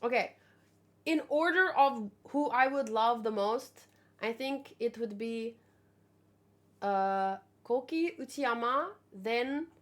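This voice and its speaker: A woman with a high voice chats casually as a streamer to her viewers. She thinks out loud and wanders into asides. Her delivery is animated and full of exclamation. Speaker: streamer hosting a manga read-along. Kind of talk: casual live chat, thinking aloud.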